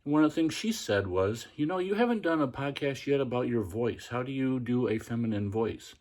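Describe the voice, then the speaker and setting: A man hosting a podcast, talking in a practiced feminine voice that is quiet and soft, with the pitch lifted by tightening his throat. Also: lively intonation, fairly quick. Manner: pitch raised by tensing the throat. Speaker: podcast host. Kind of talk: casual instructional monologue.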